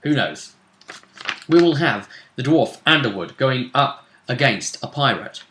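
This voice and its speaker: A man's voice: medium pitch, very animated, measured pace, a bit bright, a little hiss or hum. A man hosting a tabletop role-play show, previewing match-ups in a casual, speculative commentary, recorded with a roomy echo.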